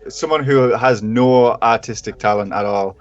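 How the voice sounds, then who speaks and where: A man's voice: mid-range voice, normal speed, highs cut off. A man chatting casually as a guest on a video call, self-deprecating and swearing freely. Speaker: guest reaction creator. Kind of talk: casual chat.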